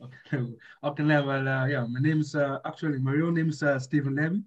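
A man's voice: fairly high pitch, steady tone, brisk, a little hiss or hum. A man chatting casually as an interview guest, informal and slangy, on a slightly muffled lo-fi recording. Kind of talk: casual chat.

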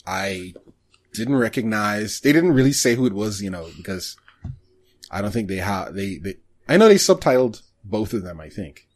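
Casual podcast co-host, male, lowish voice, hesitant and halting delivery that tends to trail off. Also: very animated. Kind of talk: casual anime discussion.

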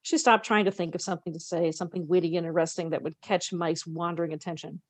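A woman's voice: on the low side, steady tone, quick pace, no room sound. A woman co-hosting a podcast, expressively reading a novel aloud with casual, conversational asides.

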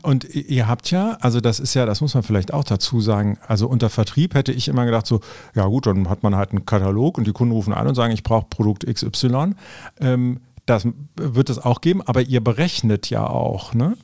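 A man with a noticeably creaky voice of medium pitch. He hosts an interview in an informal, conversational style, thinking aloud as he frames his questions. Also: brisk, a little mellow. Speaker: podcast host, interviewer.

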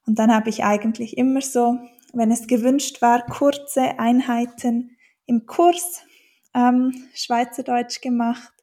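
A woman's voice: on the high side, level tone, takes it slowly, warm tone. A woman from German-speaking Switzerland with a Swiss accent, a dialect teacher answering interview questions thoughtfully, with many fillers and restarts.

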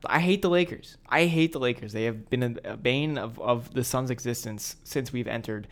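A man's voice: mid-range voice, moderate pace. A young adult American man co-hosting a podcast in casual, slangy, loosely rambling conversation. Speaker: podcast co-host. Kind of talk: casual chat.

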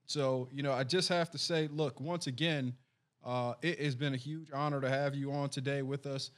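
A man, a sheriff, hosting a podcast interview in an earnest, measured way with frequent restarts. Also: medium pitch, steady tone.

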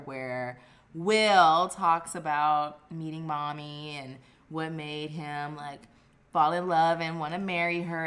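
Podcast host, woman, bright lower-pitched voice, bubbly and chatty. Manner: bubbly, laughing, chatty with fillers. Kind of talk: casual podcast chat.

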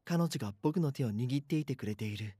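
A man's voice: medium pitch, expressive, brisk, mellow, husky, no room sound. Anxious schoolboy narrator, acted by a man, dry recording. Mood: shaken, grateful. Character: anxious, bashful schoolboy narrating his own eerie story. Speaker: voice actor. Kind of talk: Japanese voice drama, first-person narration and dialogue.

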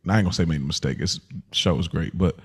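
A man's voice: low-pitched, quick pace, husky. A man hosting a podcast, casually reviewing television in an informal, slangy way. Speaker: podcast host.

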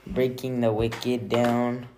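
A woman's voice: rumbling low, level tone, slow pace. A woman in a family discussion, making short, teasing remarks. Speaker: family member. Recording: noisy home recording.

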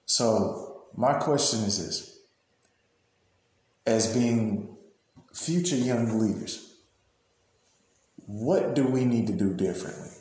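A man in his thirties hosting a casual interview, halting and repeating words as he builds his questions. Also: mid-range voice, darkish tone. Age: 30s. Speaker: podcast host interviewing. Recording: very echoey room.

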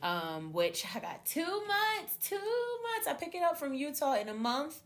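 A young adult woman hosting her own podcast, talking casually and animatedly to her listeners, her voice slightly hoarse from a cold. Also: high voice, a little slow. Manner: chatty, animated, addresses listeners as y'all. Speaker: podcast host. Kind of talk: casual solo podcast chat.

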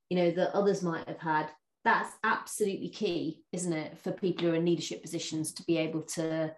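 A woman hosting an interview podcast, with a fairly low voice. She talks in a chatty, easy way, full of fillers and restarts. The room around her sounds echoey. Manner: chatty, warm laughs, many fillers and restarts. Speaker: podcast host. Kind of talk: interview conversation.

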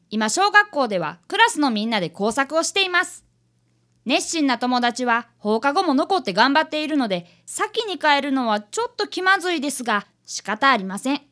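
Woman acting a high, bright, eager schoolboy. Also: big pitch swings, normal speed, heavily acted. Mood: earnest, cheerful. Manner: polite, earnest, bright delivery. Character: polite eager fifth-grade boy, apprentice detective. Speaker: actor and narrator in radio drama. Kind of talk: community radio drama acting.

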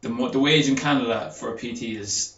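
A man's voice: medium pitch, a little fast, creaky, a bit bright. A man in his twenties from Ireland, a gym owner and personal trainer talking on a podcast, rambling with many fillers and restarts. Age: 20s. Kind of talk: casual podcast chat. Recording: roomy, dull sound with faint ambience.

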